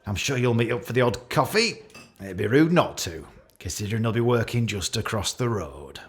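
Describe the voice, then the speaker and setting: A man's voice: mid-range voice, lively intonation, moderate pace, close to an everyday voice. A man playing an awkward, catty office boss with a British accent, giving a hesitant, rambling, jokey leaving speech in a radio play. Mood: fond, rambling. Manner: hesitant, repetitive, jokey with barbed asides.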